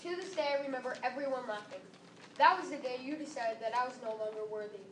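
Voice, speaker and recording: A woman's voice: high voice, fast talker. A seventh-grade girl performing a scripted readers theater monologue. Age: about 12 to 13, seventh grader. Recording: echoey room, distant mic, faint noise.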